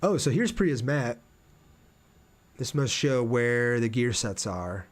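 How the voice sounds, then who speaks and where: A man's voice: mid-range voice, lively intonation, slow. A man livestreaming a video game, chatting casually with viewers and thinking aloud as he plays. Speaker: game streamer. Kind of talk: casual livestream gameplay commentary with chat replies.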